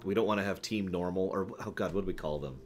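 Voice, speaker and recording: A man's voice: sits low, quick pace, soft background music. A man hosting a casual livestream about games, rambling and thinking aloud as he talks to his chat. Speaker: livestream host answering viewer questions.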